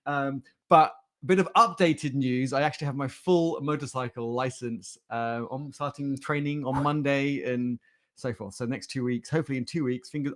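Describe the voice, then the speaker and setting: A man's voice: mid-range voice, a bit bright. A man giving a conversational talk to a livestream audience in a jokey, rambling, informal way. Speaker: conference speaker.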